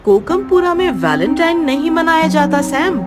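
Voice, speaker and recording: A woman's voice: high-pitched, normal speed, loud music behind the voice, voice pushed up. A woman voicing an affectionate, emotional, motherly aunt in an audio story. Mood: worried. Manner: fond, motherly, expressive.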